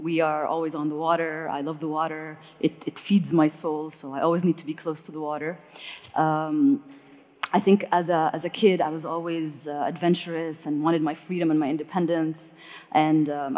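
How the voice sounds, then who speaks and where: Woman telling her story on a panel, low-ish voice, lots of ums, big echoey hall. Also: a little mellow. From eastern Saudi Arabia. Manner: chatty, many fillers. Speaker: panel speaker, entrepreneur. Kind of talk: panel discussion. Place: conference hall. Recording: hall reverb.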